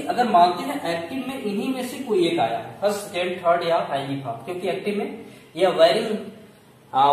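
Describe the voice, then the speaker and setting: High-voiced man teaching in echoey room. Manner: asks questions and answers them himself, repeats key points. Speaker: English grammar teacher. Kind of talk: classroom lesson, explaining step by step. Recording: very echoey room, distant mic, faint noise.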